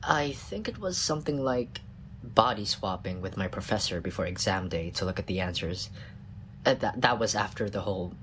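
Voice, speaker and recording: A man's voice: on the low side, expressive, moderate pace, a bit bright, slight background noise. A man playing a tiny, cocky, bumbling scientist in a comedic audio roleplay, smug and flirtatious with a flustered stammer. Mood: sheepish, boastful.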